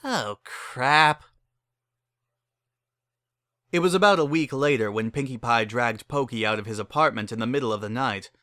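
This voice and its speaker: Bright, close narrator reading a story. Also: mid-range voice, fast, few pauses, dry recording. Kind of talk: dramatic reading of fan fiction.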